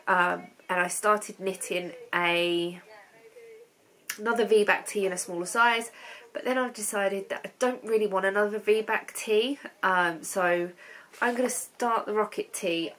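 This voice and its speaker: A woman's voice: medium pitch, fairly bright tone, poor audio. A woman in her thirties with a blocked, stuffy nose from a cold, chatting to her webcam about knitting from her sofa at home, rambling with lots of ums. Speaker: knitting vlogger. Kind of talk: casual chat to camera. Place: living room at home, on a sofa.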